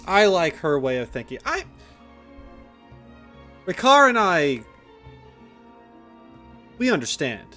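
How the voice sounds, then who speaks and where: A man's voice: high-pitched, very animated, slow pace, long pauses, sharp tone. Casual, opinionated male game streamer. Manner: opinionated, teasing, self-deprecating. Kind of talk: casual playthrough commentary. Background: game music.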